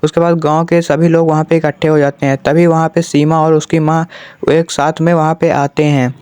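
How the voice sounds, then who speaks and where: A man's voice: on the high side, flat delivery, normal speed, a little mellow, clear, resonant tone, no echo. A man narrating a cartoon story in a scripted storytelling style.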